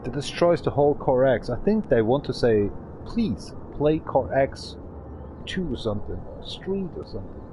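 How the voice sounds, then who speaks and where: A man's voice: mid-range voice, expressive, normal speed, mellow, a little hiss or hum, low-quality recording. A man in his thirties streaming a game, rambling and swearing in a frustrated way. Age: 30s. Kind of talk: casual gaming stream chat.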